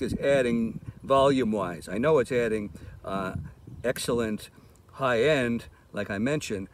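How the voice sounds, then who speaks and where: A man giving casual product demo commentary as a gear reviewer, talking outdoors in the open. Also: medium pitch. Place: open park lawn outdoors. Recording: outdoor recording with street traffic noise. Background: passing cars, outdoor ambience.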